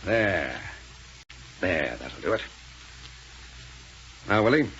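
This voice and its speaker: An actor playing a ruthless, impatient gang leader who snaps orders, in a 1930s radio serial style. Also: male voice, low voice, very animated, extremely slow, fairly bright tone, heavily acted. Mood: brisk, commanding. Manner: curt, bossy, snapping orders. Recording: muffled, noisy 1930s radio recording.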